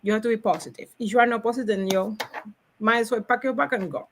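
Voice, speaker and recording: A woman's voice: fairly high pitch, quick pace, slight background noise. A woman from Cuba with a Cuban Spanish accent, a logistics salesperson talking energetically as a guest and laughing often. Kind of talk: casual interview talk.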